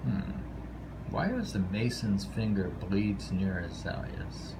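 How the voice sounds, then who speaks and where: A man reads poems aloud at a slow, unhurried pace in a creaky, fairly low voice. He pauses to reflect and adds casual, musing remarks. A clear rumbling noise sits behind him. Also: animated. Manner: musing, informal, pausing to reflect. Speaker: poetry reader. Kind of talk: reading haiku aloud with casual commentary.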